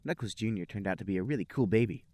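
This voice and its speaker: A man's voice: lowish voice, racing, darkish tone, no room sound. A man hosting a comedy podcast, reading fan fiction aloud with mock-dramatic storytelling and casual chat.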